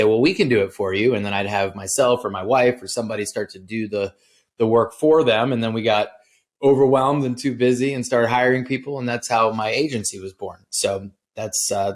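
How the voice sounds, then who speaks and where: Easygoing low-voiced man guest. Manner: relaxed, filler-heavy, easygoing. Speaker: guest, social media agency founder. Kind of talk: casual interview chat.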